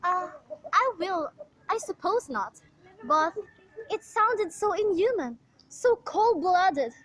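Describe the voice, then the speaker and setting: A woman's voice: extremely high pitch, sing-song, takes it slowly, a bit bright, no echo, full character voice, low-quality recording. A woman playing an anxious, demanding bedridden wife in an amateur radio play, with a fretful, insistent manner. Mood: uneasy, self-pitying.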